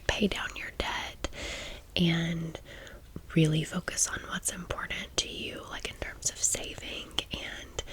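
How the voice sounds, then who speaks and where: Whispering woman in her thirties, ASMR storyteller. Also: fairly low pitch. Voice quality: breathy, unvoiced whisper. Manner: informal, confiding, talks directly to the listener. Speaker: ASMR video host.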